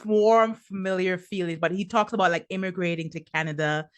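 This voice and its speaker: A woman's voice: on the low side, fairly quick, clean tone. A woman from Trinidad and Tobago, a coach, talking earnestly as a podcast guest, laughing often and repeating words as she thinks. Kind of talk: casual interview conversation.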